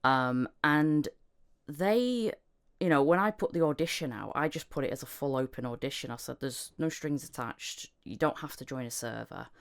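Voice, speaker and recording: A woman hosting a casual podcast chat, talking in a halting, rambling, self-deprecating way. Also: extremely low pitch. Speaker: podcast host and voice actor.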